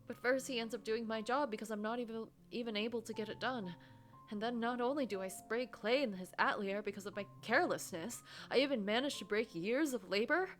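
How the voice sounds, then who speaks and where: A woman voicing an anxious, guilt-ridden office worker who narrates a story game in a dramatic, wounded, halting way. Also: a little high, soft background music, close to an everyday voice. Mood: anxious self-blame.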